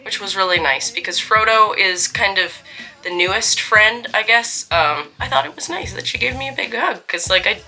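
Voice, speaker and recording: Chatty, wry young woman, very bright voice. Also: mid-range voice, expressive, normal speed, soft background music. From Canada. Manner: chatty, wry and self-deprecating. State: getting over a cold. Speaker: vlogger telling a story. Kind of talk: casual story time.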